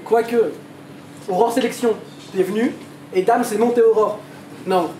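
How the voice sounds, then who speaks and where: A man's voice: high voice, a little slow, a little mellow. A man battle rapping a cappella, mocking his opponent with taunting punchlines. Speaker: battle rapper. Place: event hall with a crowd. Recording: echoey hall with crowd noise.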